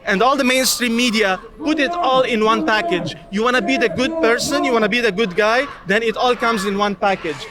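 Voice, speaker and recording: A man's voice: very high-pitched, brisk, hardly any pauses. A man from Lebanon giving a heated, insistent street interview at a protest. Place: outdoors at a protest. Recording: loud crowd noise outdoors. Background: loud crowd.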